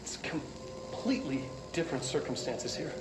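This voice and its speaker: An actor voicing an anxious, earnest research chief in a video game, halting and stammering as he talks. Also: mid-range voice, sing-song, close to an everyday voice. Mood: uneasy awe. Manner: halting, stammering, agitated repetitions. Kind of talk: video game acting, recorded log. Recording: echoey room, loud noisy old recording.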